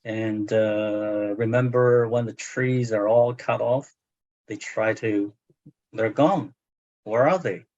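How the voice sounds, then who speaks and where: A man's voice: on the low side, takes it slowly, darkish tone. A man in his sixties, a native Chinese speaker with a Chinese accent, talking as a translator in a book club discussion, hesitant with many fillers and restarts. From China. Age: 60s. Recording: muffled lo-fi audio.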